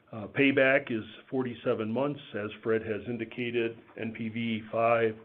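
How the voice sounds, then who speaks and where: A man giving a measured, matter-of-fact business presentation as a company executive on a conference call, over a phone line. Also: mid-range voice. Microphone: telephone conference line. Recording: tinny, band-limited phone line.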